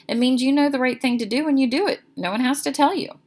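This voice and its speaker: A woman voicing a calm, thoughtful father who advises his young son in a children's storybook. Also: sits high, quick pace, pitched above the performer's own voice.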